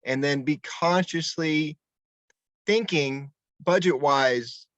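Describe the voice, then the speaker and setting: A man's voice: fairly high pitch, takes it slowly, a bit bright, dry recording, muffled recording. A man introducing himself on a video call in a matter-of-fact way. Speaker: workshop participant.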